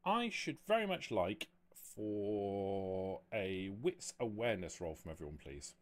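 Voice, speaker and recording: A man running a tabletop game as game master, improvising narration in a halting way with repeated words. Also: lowish voice, sing-song. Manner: halting, frequent stammered word repeats.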